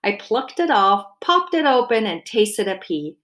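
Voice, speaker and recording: Female storybook reader, mid-pitched and expressive, explaining things simply for young listeners. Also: a little slow. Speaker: dietitian reading a children's book aloud. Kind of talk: storybook read-aloud with explanatory asides.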